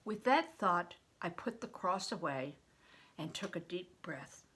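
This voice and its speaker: Expressive older woman reading aloud, bright voice. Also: lowish voice, measured pace, slightly rough. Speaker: storyteller reading a children's novel aloud. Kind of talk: expressive scripted narration.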